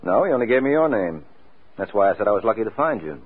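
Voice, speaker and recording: A man's voice: lowish voice, very animated, fast, slightly rough. A man playing a cool, wisecracking, flirtatious private detective in a 1940s radio drama, with a breezy, sardonic, teasing way of talking. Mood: sardonic, probing. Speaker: radio drama lead actor. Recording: tinny, band-limited old radio recording.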